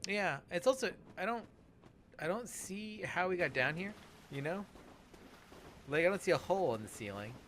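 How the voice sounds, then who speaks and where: A man chatting casually as he commentates on a game, halting and often trailing off mid-thought. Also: high voice, animated, fairly bright tone, slight background noise. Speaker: let's play podcast host.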